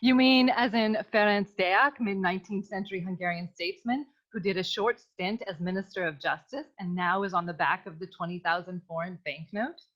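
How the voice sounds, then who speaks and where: A woman playing a flirtatious, coy femme fatale client with deadpan delivery in a comic noir radio play. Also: mid-range voice, brisk, few pauses, close to an everyday voice, rough recording. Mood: earnest, deadpan.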